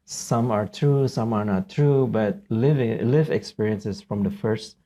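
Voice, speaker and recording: A man from Indonesia with an Indonesian accent, hosting a casual interview and haltingly searching for words, often repeating himself. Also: on the low side, dark tone. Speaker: podcast host, interviewer.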